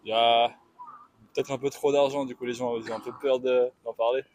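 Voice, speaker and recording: A man's voice: mid-range voice, level tone, a little fast, a little mellow, slight background noise. A man in his twenties, raised in Switzerland, answering a street interview candidly and easily into a handheld mic outdoors. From Switzerland, born in Portugal. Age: 20s. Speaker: interviewee, passerby.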